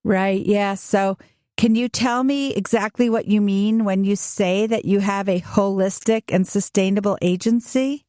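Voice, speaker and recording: A woman's voice: medium pitch, fairly even intonation, a little slow, mellow, dry recording, studio quality. A woman hosting a business podcast, giving the intro and asking her guest questions in an encouraging way. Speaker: podcast host.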